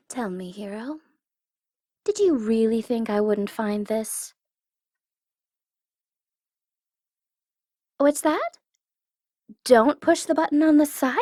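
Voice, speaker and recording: Smug female supervillain for ASMR roleplay, played by a woman: highish voice, unhurried, theatrical taunts, teasing. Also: darkish tone, dry recording, studio quality. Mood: gloating, mocking. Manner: taunting, theatrical, teasing, drops into breathy whispers. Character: smug tsundere female supervillain gloating over her captive hero. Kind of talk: ASMR audio roleplay, theatrical villain monologue.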